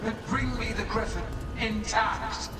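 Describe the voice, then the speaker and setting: Echoing, slow, haughty monstrous goddess woman acting. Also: on the high side, fairly even intonation, crowd noise, natural voice. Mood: cold, commanding. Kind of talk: dramatic video game acting. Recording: echoey and distant, loud music behind.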